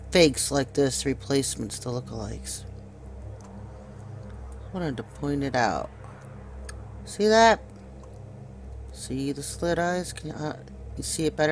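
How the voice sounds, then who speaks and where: Higher-voiced man giving informal video commentary. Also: slight background noise. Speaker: video host. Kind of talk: informal conspiracy commentary over pictures.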